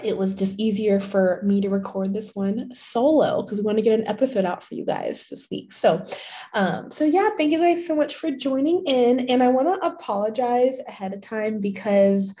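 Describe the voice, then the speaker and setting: A young woman hosting a fitness podcast alone, chatty and full of fillers, her voice slightly hoarse from being sick. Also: fairly high pitch, moderate pace, mellow. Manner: chatty, many fillers, laughs often. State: slightly hoarse from a recent illness. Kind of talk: casual solo podcast talk.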